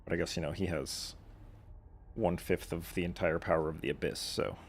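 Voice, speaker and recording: A man's voice: low voice, steady tone, brisk, a little hiss or hum. A man livestreaming a game, giving casual, offhand commentary and reactions. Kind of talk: casual live gameplay commentary.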